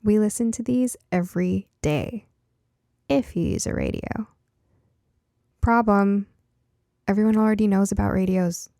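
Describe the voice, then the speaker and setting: A woman voicing a curious, science-minded girl who narrates her own story in a conversational, expressive storybook style. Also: mid-range voice, unhurried, creaky, pauses often, no room sound, clean studio sound. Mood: explaining, eager. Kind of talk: first-person audiobook narration.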